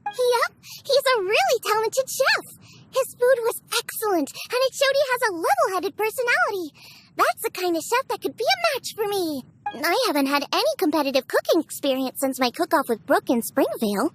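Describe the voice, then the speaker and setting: A woman's voice: very high-pitched, sing-song, moderate pace, a bit bright, heavily acted. A woman voicing a cheerful, earnest, food-loving girl chef in a video game. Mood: eager, confident. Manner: bubbly, earnest.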